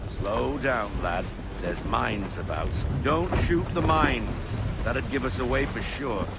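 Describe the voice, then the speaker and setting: Hearty, swooping old sea dog. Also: male voice, mid-range voice, lively intonation, slow, creaky. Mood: gruff, relaxed. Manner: salty, colloquial nautical talk. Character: hearty older male sailor, gruff, battle-loving sea dog. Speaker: ship's first mate. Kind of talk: video game voice acting. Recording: noisy wind and sea ambience.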